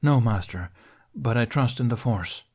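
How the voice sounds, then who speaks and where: A man voicing a chastened young knight in an audiobook, deferential and subdued, with a quiet stubborn streak. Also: medium pitch, animated, measured pace, slightly rough. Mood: respectfully defiant. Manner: subdued, deferential, brief answers. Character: chastened young male Jedi, meek yet quietly stubborn.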